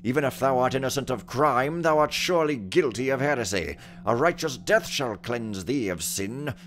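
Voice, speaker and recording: A man's voice: medium pitch, lively intonation, normal speed, fairly bright tone, lightly acted. A man voicing a stern, pious monk who speaks in grave, archaic, sanctimonious tones while reading a story aloud. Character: stern, pious elderly male monk judge.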